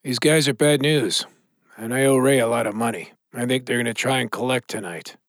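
A man's voice: medium pitch, a little fast, dry recording, natural voice. Man acting a streetwise noir gambler, clipped orders, commanding mentor, dry close sound. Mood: guarded, instructing. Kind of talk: noir audio drama acting.

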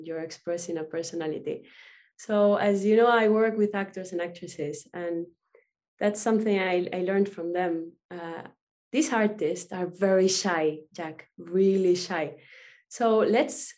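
A woman's voice: medium pitch, darkish tone. Woman coach, spanish accent, english second language, encouraging, chatty. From Spain. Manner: frank, encouraging, with restarts. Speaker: coach for actors, guest. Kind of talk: casual interview chat.

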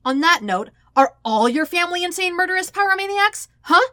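A woman's voice: animated, a little fast, dry recording, studio quality, exaggerated voice. A woman voicing a blunt, defensive, indignant teenage boy in an audiobook story, snapping in a harsh, volatile way. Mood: indignant, shouting. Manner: snapping, loud, harsh, high-strung.

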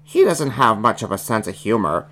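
A performer with a woman's voice playing a gentle, worried young bear cub in a children's storybook. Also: medium pitch, big pitch swings, moderate pace.

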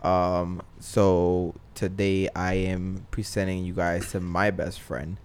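A young man hosting a casual podcast interview, relaxed, with lots of ums and easy laughs. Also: sits low, slow, clear, resonant tone. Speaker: podcast host.

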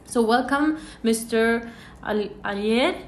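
A woman's voice: a little high, takes it slowly, slight background noise. A woman hosting a podcast interview, talking hesitantly with many fillers and restarts. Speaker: podcast host. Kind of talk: interview conversation. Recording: echoey room.

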